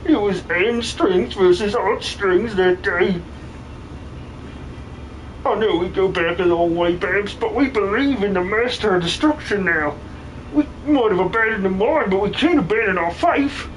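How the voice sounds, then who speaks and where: A man voicing an excitable, colloquial miner villager in an improvised video game fan dub. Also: high voice, a little slow, a little hiss or hum, rough recording. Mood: solemn, conflicted. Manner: colloquial, exclamatory.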